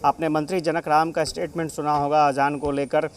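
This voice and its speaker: A man's voice: on the high side, little pitch movement, a little fast, a little hiss or hum. A man giving an unscripted, explanatory live political report as a news correspondent.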